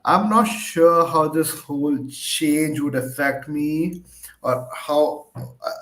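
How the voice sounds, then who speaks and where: A young man, talking unhurriedly and a little high, over an online call mic. He gets excitable, laughs a lot and stumbles over repeated words. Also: slow pace, poor audio. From India. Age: 20s. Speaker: panel guest. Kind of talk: casual online panel chat.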